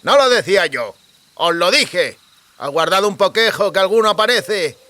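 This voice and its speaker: A coarse country thug, put on by a male narrator, raised in pitch. He speaks smugly in rural dialect, as a highway robber in a fantasy audiobook. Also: expressive, moderate pace, sharp tone, dry recording. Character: coarse, gruff male rustic thug, smug.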